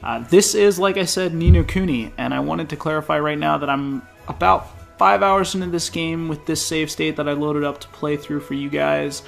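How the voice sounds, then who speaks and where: A man's voice: a little high, steady tone, moderate pace, vocal fry, hardly any pauses, soft background music. A man with a stuffy, nasal voice from an infection talks casually through a gameplay preview as a game editor. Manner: casual, chatty, spontaneous.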